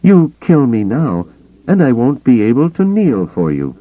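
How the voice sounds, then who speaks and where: Defiant woman fighter, played by a male narrator: raised pitch, wary, sharp-tongued, for a space-opera audiobook. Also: huge pitch swings, a little slow, narrow, tinny audio. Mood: feigned defeat.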